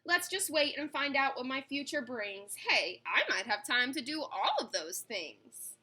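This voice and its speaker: Lady reading as eager little storybook boy, eight, bragging in grand rhymes, high bright voice. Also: a little slow, full character voice. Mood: frank, hopeful. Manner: excitable, grandly declaiming in rhyme. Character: eager, imaginative, boastful eight-year-old schoolboy in storybook.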